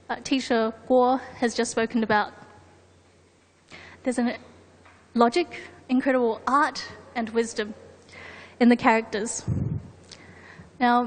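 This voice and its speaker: A woman from New Zealand with a New Zealand and Australian English accent, giving a formal, rehearsed conference presentation with a co-presenter. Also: on the high side. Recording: long hall reverb.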